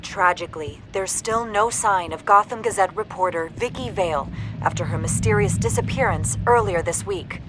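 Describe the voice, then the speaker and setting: An actress playing a composed television news reporter in a video game, reading in a measured, solemn, formal way. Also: female voice, medium pitch, noisy background, lightly acted.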